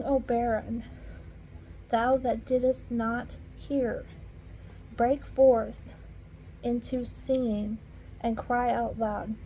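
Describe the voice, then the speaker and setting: A woman reading scripture aloud in an informal way, halting at hard words and talking softly to her viewers between verses. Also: a little high, a little hiss or hum, low-quality recording. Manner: slow, halting, stumbles over archaic words. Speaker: Bible reader.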